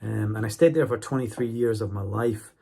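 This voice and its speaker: Husky Scottish man in his forties with a cold. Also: fairly low pitch, a little fast, warm tone. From Scotland. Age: 40s. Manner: chatty, keeps checking in with viewers. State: has a cold. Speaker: YouTuber. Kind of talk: casual monologue to camera.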